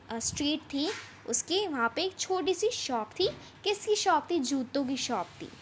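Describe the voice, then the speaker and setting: A woman with a high-pitched voice teaches an English chapter. She reads the English text slowly and then explains it at length in Hindi, repeating herself. Faint noise sits behind her voice.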